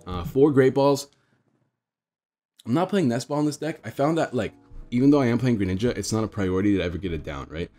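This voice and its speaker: A man's voice: medium pitch, expressive, a little fast, dark tone, faint music behind. A young man with a stuffed-up nose casually explaining his card deck to viewers, rambling and talking to them as guys. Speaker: card game streamer. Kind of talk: casual deck tech commentary.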